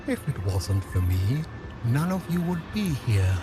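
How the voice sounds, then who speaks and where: An actor playing a grandiose, controlling mastermind in an audio drama, speaking in a deliberate, theatrical way. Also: male voice, mid-range voice, very animated, over music. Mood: menacing, grandiose. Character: controlling, grandiose male mastermind who reshapes realities. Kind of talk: scripted audio drama acting.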